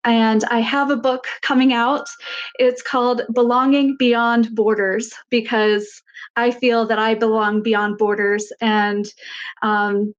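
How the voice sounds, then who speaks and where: A woman sharing earnestly in a group discussion in a halting, reflective way, as a facilitator. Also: on the high side, flat delivery, lo-fi sound. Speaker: workshop facilitator and author.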